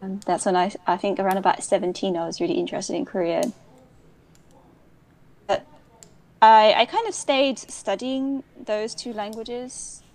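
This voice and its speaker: A woman's voice: medium pitch, fairly even intonation, measured pace, pauses often, a little mellow, rough recording. Young woman, interview guest, talkative and digressive, mid-range voice. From United Kingdom. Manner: chatty and digressive, many restarts. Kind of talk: casual interview chat.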